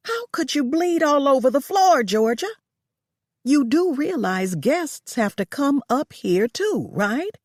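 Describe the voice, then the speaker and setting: A woman reading aloud, voicing a cruel wealthy female employer who yells and screeches at her maid. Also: big pitch swings, slow pace, dry recording, studio quality, full character voice. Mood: indignant. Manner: shrill, shouting.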